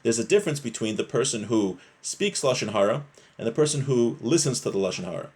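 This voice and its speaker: A man's voice: mid-range voice, lively intonation, quick pace, darkish tone, breathy edge. A man with a mid-range voice teaches religious law. He reads passages aloud and then explains them in plain terms, rephrasing points and putting short questions to his listeners. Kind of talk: religious lesson, reading a text aloud and explaining it.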